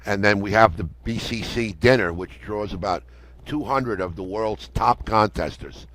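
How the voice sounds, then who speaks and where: Older New man, low-pitched storyteller. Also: a little slow, a bit bright. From United States. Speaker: interview guest, ham radio operator. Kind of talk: conversational storytelling.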